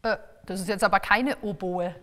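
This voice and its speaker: A woman's voice: mid-range voice, moderate pace, a bit bright, big-room sound, a little hiss or hum. A young woman hosting a children's music show, asking curious, playfully surprised questions. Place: stage set with suitcases.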